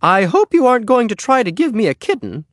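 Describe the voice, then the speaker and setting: A chatty storybook housewife, acted out by a man, pitched well above a man's talk. She is brisk and exclamatory, swooping up and down with polite firmness. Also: very animated, dry recording, clean studio sound. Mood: brisk, wary.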